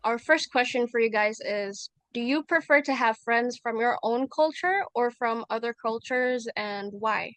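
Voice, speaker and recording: A woman's voice: on the high side, measured pace, hardly any pauses, fairly bright tone, no room sound, soft background music. A young woman hosting a student podcast, reading upbeat introductions and questions. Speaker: podcast host.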